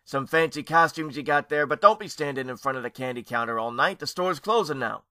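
A gruff, impatient store manager, as a man puts him on, barks at customers in a loud, slightly raised voice. He sounds bossy and blunt. The recording is close and dry. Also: on the high side, expressive, fairly quick, no echo, voice pushed up.

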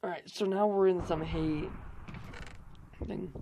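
A young man hosting a gaming channel, hoarse from losing his voice, rambling through casual gameplay commentary with fillers and swearing. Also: high-pitched, fairly even intonation, unhurried, warm tone, slight background noise.

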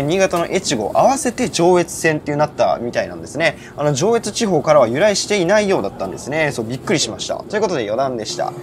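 A man in his early twenties narrating a railway vlog with detailed, informative explanations. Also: fairly high pitch. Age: early 20s. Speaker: railway vlogger. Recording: loud train and station noise.

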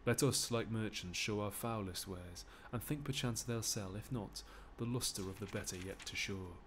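A man playing a shrewd old Greek statesman, declaiming verse from a classical play in a measured way. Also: lowish voice, a bit bright, slight background noise, natural voice.

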